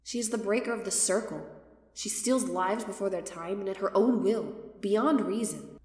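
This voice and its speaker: A woman's voice: medium pitch, normal speed, a little mellow, natural voice. A woman playing a wary, devout young churchwoman in a tabletop fantasy podcast, guarded and earnest. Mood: stern, offended. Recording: echoey hall with long reverb.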